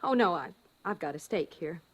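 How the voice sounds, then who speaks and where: A woman's voice: lowish voice, animated, moderate pace. An actress playing an anxious, hesitant American housewife and mother in an old-time radio drama. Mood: nervous, evasive. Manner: hesitant, stammering restarts. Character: anxious suburban housewife and mother hiding her fear. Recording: noisy vintage radio recording.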